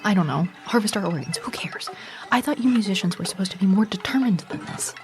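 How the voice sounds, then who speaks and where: An actress playing a frightened young woman musician on the run, telling her story in a hushed, measured, tense way in an audio drama. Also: mid-range voice, expressive, lightly acted. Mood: urgent, defensive. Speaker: narrator and lead character. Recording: music bed under voice.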